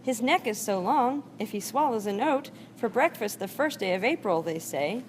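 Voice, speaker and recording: A woman's voice: on the high side, lively intonation, normal speed. A woman on staff reading a rhyming storybook aloud. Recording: faint background room noise.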